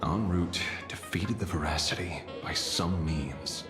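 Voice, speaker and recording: A shrewd detective from a video game, with a lowish voice and slow, deliberate delivery. He sounds composed and dry, with music playing behind him. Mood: pensive. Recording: echoey, over music.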